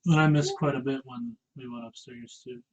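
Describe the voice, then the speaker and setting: A man's voice: mid-range voice, fairly even intonation, normal speed. A man chatting casually as a player in a tabletop role-playing game. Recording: dull, noisy recording.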